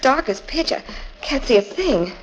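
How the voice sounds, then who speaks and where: An actress playing a detective's plucky, wry female companion in an expressive, theatrical 1940s radio style. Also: high voice, normal speed, slight background noise. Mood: nervous. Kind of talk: 1940s radio mystery acting. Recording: dull 1940s radio recording with music.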